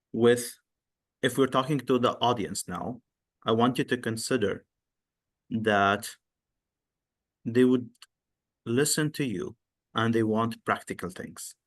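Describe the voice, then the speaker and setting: A man's voice: lowish voice, fairly bright tone. A man hosting a podcast interview, asking polite, encouraging and long, winding questions. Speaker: podcast host.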